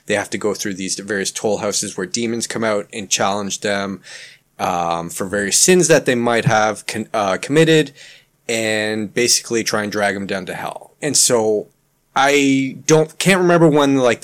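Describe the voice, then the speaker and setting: A man from Canada whose first language was Ukrainian, a guest author talking in a halting, filler-heavy way on a podcast. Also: lowish voice. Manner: halting, many fillers and restarts. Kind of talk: casual podcast conversation.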